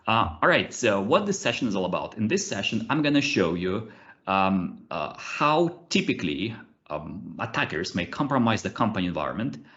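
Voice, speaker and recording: A man in his thirties with a Russian accent, presenting a cybersecurity session over a video call. He hesitates often, uses many fillers and restarts, and keeps his delivery animated. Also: lowish voice, normal speed, highs cut off. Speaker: conference speaker, ethical hacker. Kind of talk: webinar presentation with live demos. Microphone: computer mic on a video call.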